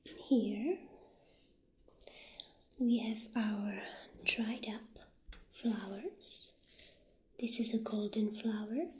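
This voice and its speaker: A woman speaking softly and breathily, often near a whisper, in a gentle ASMR roleplay as a witch shopkeeper. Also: on the high side. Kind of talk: soft-spoken, half-whispered ASMR roleplay. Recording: roomy echo, audible background noise.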